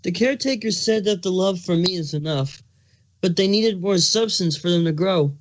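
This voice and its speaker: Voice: medium pitch, normal speed, close to an everyday voice, poor audio. A performer voicing a hormonal, foul-mouthed male changeling king who is pregnant, in an exasperated, emphatic cartoon fan fiction reading. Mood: flustered, explaining. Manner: ranting, exasperated, emphatic swearing.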